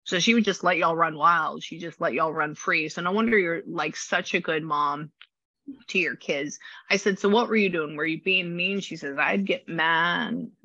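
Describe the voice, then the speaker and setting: A woman with a low voice and a slight rasp, chatting through a spirit reading and passing on messages. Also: normal speed, sharp tone, no room sound, dull audio. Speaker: psychic medium.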